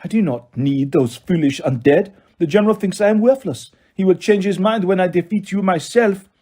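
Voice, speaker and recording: A man's voice: high voice, moderate pace, warm tone, voice pushed up. A man voicing a pompous, menacing male manticore villain with a French accent in a storybook reading. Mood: sneering. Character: gloating villainous male manticore in military disguise.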